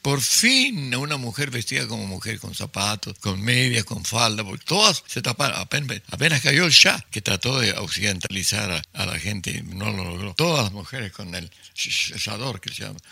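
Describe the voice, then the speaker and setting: An older writer tells stories in an interview with a gravelly, husky voice. His delivery is forceful and tense, and he often restarts sentences and acts out what people said. The recording is dry and close. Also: on the low side, sing-song, normal speed, few pauses, no room sound. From Chile. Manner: vivid storyteller, acts out quotes, frequent restarts. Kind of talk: storytelling in an interview.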